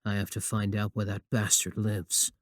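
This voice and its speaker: A man's voice: on the low side, completely flat delivery, normal speed, no room sound, natural voice. Man acting a possessive young admirer, close and dry. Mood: simmering anger. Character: possessive, obsessive young male student, sweet yet menacing admirer. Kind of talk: scripted ASMR roleplay acting.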